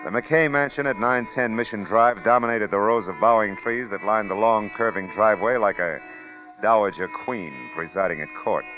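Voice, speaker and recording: A man acts out a hard-boiled American private eye in a low voice, sardonic and flirtatious, delivering clipped lines and wry narration. It is classic forties radio drama, muffled with age and backed by music. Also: normal speed, natural voice. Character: tough, wisecracking male private detective, world-weary and flirtatious. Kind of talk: 1940s-50s radio detective acting and first-person narration. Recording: old muffled radio recording, music behind.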